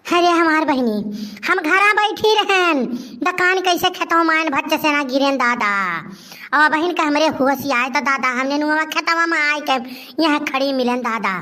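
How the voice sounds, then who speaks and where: Casting: a woman as a hefty, helpless-acting village sister, cartoon comedy, high voice, bright tone, plaintive wailing. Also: moderate pace. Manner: plaintive, exclamatory.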